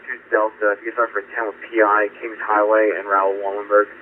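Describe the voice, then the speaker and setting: Fast, flat male radio dispatcher. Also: on the low side. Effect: two-way radio. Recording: tinny scanner radio, faint static.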